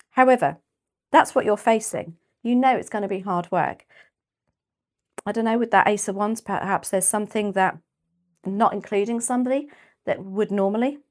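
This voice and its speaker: A woman with a British accent giving a casual tarot reading, chatty and rambling, her voice a little croaky and often clearing her throat. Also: mid-range voice, moderate pace, pauses often, darkish tone. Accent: British English. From United Kingdom. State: slightly croaky voice. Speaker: tarot reader.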